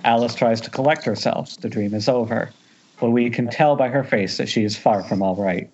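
A man's voice: mid-range voice, moderate pace, a little mellow. A man reading screenplay directions aloud at an informal table read over an internet call, sometimes stumbling and restarting. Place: remote group Skype call. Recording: dull, noisy internet call.

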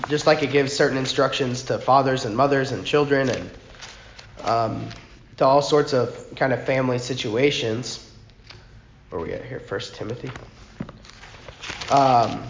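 A man with a hoarse, worn-out voice, teaching an informal church class in a soft, rambling way that corrects itself often. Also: medium pitch. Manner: rambling, self-correcting, talks softly. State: hoarse, lost his voice. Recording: muffled, echoey room with faint noise.

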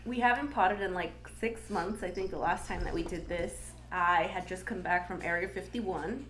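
A congested woman co-hosting a podcast in casual chat, blunt and quick to swear and laugh. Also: lowish voice, fairly bright tone, poor audio. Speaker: podcast co-host.

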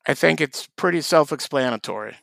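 Gravelly deadpan man. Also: a little high, a little fast, no room sound. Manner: deadpan, dry jokes. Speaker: podcast co-host. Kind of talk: casual comedic chat.

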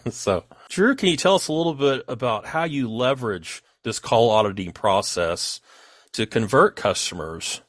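A man's voice: mid-range voice, animated, normal speed, dry recording, clean studio sound. A man hosting a podcast interview, responding and asking questions in a conversational style.

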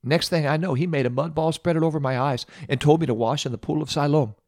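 A man's voice: on the high side, a little slow, dry recording, studio quality, pitched above the performer's own voice. A man voicing a joyful, wisecracking beggar who was blind, in a storytelling sermon. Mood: excited, cheeky. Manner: breezy, wisecracking, excited.

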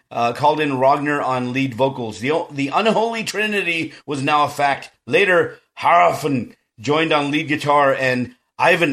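Man, podcast host, from South Texas, casual chat, middle-pitched voice, earnest, informal. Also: fairly bright tone. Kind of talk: casual chat and music news.

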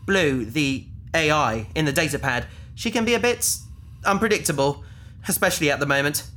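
A man's voice: fairly high pitch, moderate pace, pushed and bright, slight background noise. A man playing an anxious captive in a science fiction audio drama, earnest and urgent as he urges caution. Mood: wary, worried. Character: Paris, anxious male captive pleading caution.

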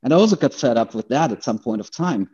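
A man from Austria with an Austrian accent and native German, telling his story as an interview guest in a halting, conversational way, often repeating words. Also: mid-range voice, lively intonation, mellow, breathy edge, muffled recording.

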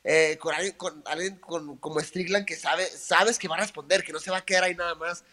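High-voiced Mexican man, chatty. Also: brisk. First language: Spanish. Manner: relaxed and chatty, with many "o sea" and "eh" fillers. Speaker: interview guest, professional fighter. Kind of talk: casual interview chat.

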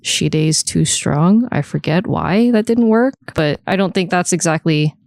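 A woman's voice: fairly low pitch, lively intonation, a little slow, vocal fry, a little mellow, dry recording, clean studio sound. A woman co-hosting a podcast in casual conversation. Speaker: podcast co-host. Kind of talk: casual chat.

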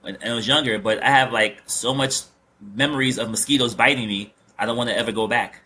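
A man's voice: medium pitch, steady tone, fairly quick, pushed and bright, husky. A man from Guyana hosting a casual chat, teasing and rambling.

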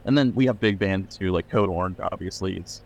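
Very low-voiced rambling man. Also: a little fast, vocal fry, darkish tone, slight background noise. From Pennsylvania, USA. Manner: rambling, many "like" fillers and restarts. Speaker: record label owner, guest. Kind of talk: casual podcast interview.

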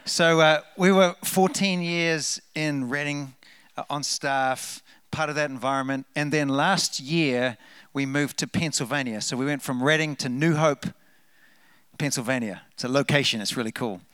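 A man with a New Zealand accent, a guest speaker telling testimonies at a church, animated and rousing the congregation. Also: a little high, normal speed, clean tone, no echo. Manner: animated, hypes up the crowd, trails off into asides. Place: church sanctuary.

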